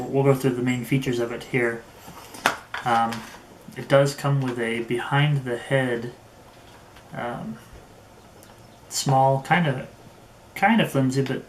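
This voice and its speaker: A man giving a casual hands-on product review, speaking in a halting way with pauses mid-phrase. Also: medium pitch. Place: indoors in an echoey room. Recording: roomy echo, faint background noise.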